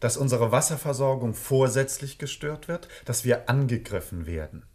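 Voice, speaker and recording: A man's voice: mid-range voice, lively intonation, moderate pace, a little mellow, lightly acted. A man playing a deferential but sceptical government aide in a German radio drama, polite and hesitant. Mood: incredulous.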